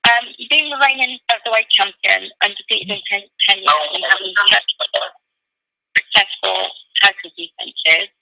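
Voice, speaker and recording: A woman's voice: mid-range voice, normal speed, vocal fry, bright, forceful tone, dry recording, poor audio. A woman working as a reporter, putting a prepared question over a telephone conference line. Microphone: phone.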